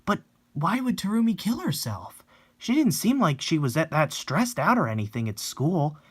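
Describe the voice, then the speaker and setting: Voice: mid-range voice, sing-song, normal speed, breathy edge. A performer voicing a frightened teenage schoolgirl who narrates a horror manga, shaken and protective of friends. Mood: stunned. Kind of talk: dramatic reading of a horror manga.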